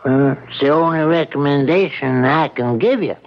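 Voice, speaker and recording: An actor playing an evasive, courteous small-town judge who hems and stammers, in an old-time radio drama. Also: male voice, on the high side, normal speed, gravelly, highs cut off, faint music behind. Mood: evasive, hedging. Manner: hemming, stammering, evasive, polite.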